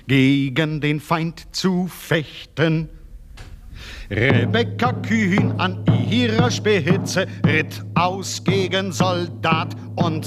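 An actor performing as a ballad singer in a radio play, delivering heroic verse in a declamatory way. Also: male voice, fairly high pitch. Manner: slow, declamatory, verse-bound. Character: male ballad singer telling of heroic rebels. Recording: long reverb, loud music.

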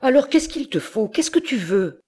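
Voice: medium pitch, sing-song, moderate pace, darkish tone, husky, voice pushed up. A reader voicing a worried older farmer who exclaims and warns in a storybook tale. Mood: dismayed. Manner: exclaiming, anxious. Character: older male farmer, fretful and protective.

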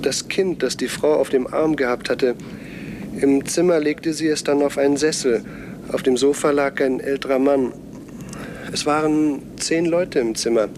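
An actor playing a troubled, resolute scout in a radio play, speaking in a brooding, guarded, insistent way. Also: male voice, medium pitch, normal speed, natural voice. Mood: urgent, earnest. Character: troubled, resolute male scout reporting from forbidden island. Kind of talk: German radio play acting. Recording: 1970s radio drama, noisy outdoor ambience.

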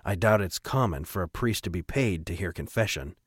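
A man's voice: sits low, animated, a little fast, husky, dry recording, pitched below the performer's own voice. A man voicing a reserved, skeptical male scientist in an audiobook, speaking in a dry, understated way. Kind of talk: audiobook character acting.

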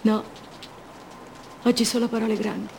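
An actress playing an impulsive, fragile woman madly in love, in an intense, poetic radio theatre monologue full of repeated phrases that swings between rapture and hush. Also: fairly high pitch, steady tone, moderate pace, vocal fry, a little hiss or hum. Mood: exalted, anguished.

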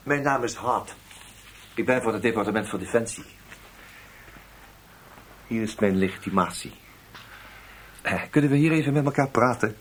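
An actor playing a polite but insistent intelligence investigator in a radio play, probing with hesitant murmurs. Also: male voice, mid-range voice, moderate pace, long pauses, a bit bright, a little hiss or hum, lightly acted. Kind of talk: radio drama acting.

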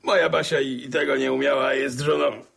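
An actor playing a folksy, rambling older country squire in a radio drama, stammering through verbal tics with a gravelly, husky voice. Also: male voice, medium pitch, fairly even intonation, brisk, fairly bright tone, full character voice. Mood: chatty, proud. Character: chatty, folksy older country squire, proud father and husband.

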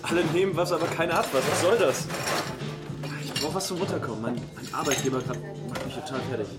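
Radio drama casting: wired, pushy male office worker, restless rapid talker played by an actor, loud music underneath. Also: fairly high pitch, lightly acted. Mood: agitated, complaining. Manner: restless, insistent, ranting. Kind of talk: naturalistic radio drama dialogue.

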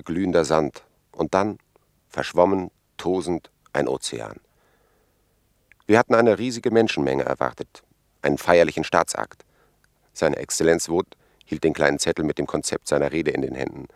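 A very low, creaky male voice in a 1950s radio drama. He is a grave expedition member reporting what he saw in measured, literary sentences. The sound is dry, with little room around it. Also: no echo. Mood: tense, descriptive.

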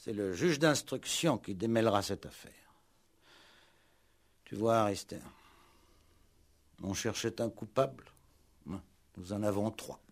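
An actor playing a shrewd, ironic police commissioner in a 1960s French radio play, speaking with deliberate, mock-courteous drama. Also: male voice, on the low side. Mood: wry, satisfied. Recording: old 1960s radio recording.

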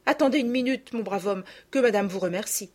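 A woman voicing an anxious, caring household maid in an audiobook, hurried and bustling. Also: mid-range voice, lively intonation, mellow, slightly rough, voice pushed up. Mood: urgent.

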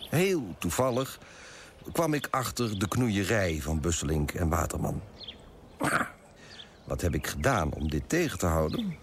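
An actor playing a pompous, self-righteous coffee broker who moralises emphatically in a radio drama monologue. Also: male voice, low-pitched, sing-song, a little slow, pauses often, a little hiss or hum, heavily acted. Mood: self-satisfied, pompous.

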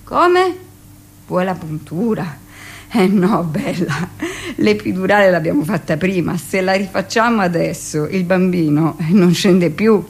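A brusque middle-aged midwife, confiding. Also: female voice, lowish voice, lively intonation, slightly rough, exaggerated voice. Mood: brusque, complaining. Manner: conversational, dramatic pauses, shifts into mimicked speech. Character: 45-year-old hospital midwife, brusque, gossipy, recalling her past. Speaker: actress in a radio monologue. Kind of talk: theatrical first-person monologue, confiding storytelling.

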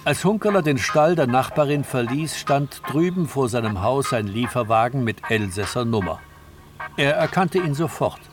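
A man narrates a radio play in scripted, literary style. His pitch sits in the middle range. Music runs strongly beneath the narration. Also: expressive, normal speed.

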